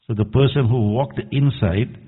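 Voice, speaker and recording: A man's voice: medium pitch, moderate pace, husky. Earnest preaching man in echoey hall. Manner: earnest, admonishing, quotes Arabic verses. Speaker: imam. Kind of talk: sermon. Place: mosque hall. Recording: tinny echoey hall recording.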